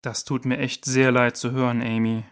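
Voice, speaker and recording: A man's voice: medium pitch, level tone, normal speed, smooth, close to an everyday voice. A man playing a serious, protective character who speaks directly in a children's audio drama. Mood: serious, concerned.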